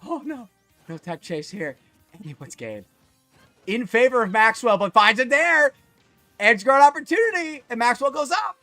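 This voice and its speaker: Man, esports commentator, excitable, slightly worn voice, sharp, dry closet sound, faint music behind. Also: moderate pace, no echo. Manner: excitable, voice jumps high at big moments. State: losing his voice. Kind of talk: solo live tournament commentary. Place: small closet.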